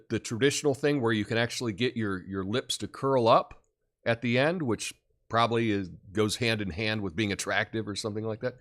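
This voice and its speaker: Male show host, deep and loud voice, lively pitch, casual advice monologue. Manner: loud, emphatic, swoops up high in acted examples.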